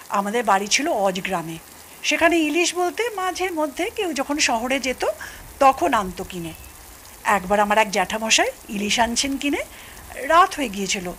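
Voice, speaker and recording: A woman playing a chatty middle-aged mother-in-law, telling anecdotes in a lively way in an audio drama. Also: high voice, a little hiss or hum. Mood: reminiscing. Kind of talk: Bengali audio drama acting.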